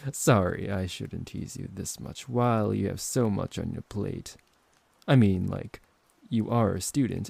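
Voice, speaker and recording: A man acting out a smooth-talking, possessive vampire professor in an intimate ASMR roleplay, politely condescending and teasing, close to the microphone. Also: on the low side, mellow, no echo, heavily acted. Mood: teasing, friendly. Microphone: close microphone, dry.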